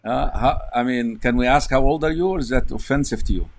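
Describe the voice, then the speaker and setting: An older man, an imam, hosting a live video interview, chatty and quick to laugh, with Arabic religious interjections. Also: mid-range voice. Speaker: host, imam. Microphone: video call webcam.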